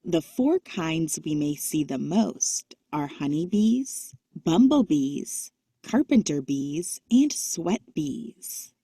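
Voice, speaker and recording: A woman with a lowish, soft-edged mellow voice narrates an educational children's book. She reads very slowly and carefully, with lively rises and falls, in a dry recording. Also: husky, dry recording.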